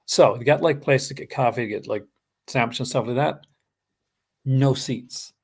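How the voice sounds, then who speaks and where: Gravelly Canadian man, casual rambling chat. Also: medium pitch, moderate pace. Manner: rambling, many fillers and restarts. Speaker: host. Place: home, live stream. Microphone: streaming call.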